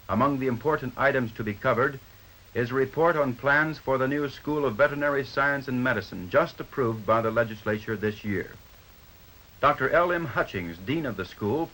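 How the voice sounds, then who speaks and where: A bright, forceful American male announcer reads a 1950s newsreel script. His delivery is formal and measured, at a medium pitch. The old soundtrack carries faint noise behind his voice. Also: low-quality recording. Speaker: newsreel narrator.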